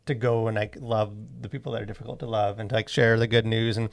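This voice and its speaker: Male podcast co-host, casual and halting, rambles through fillers, noticeable vocal fry. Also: lowish voice, flat delivery. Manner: halting, rambling delivery with frequent verbal fillers. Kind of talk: casual conversational podcast discussion.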